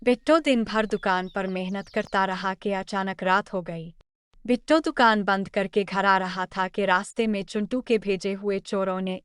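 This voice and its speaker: A woman's voice: medium pitch, fairly bright tone, smooth, dry recording, clean studio sound. A woman reading scripted narration for a moral story.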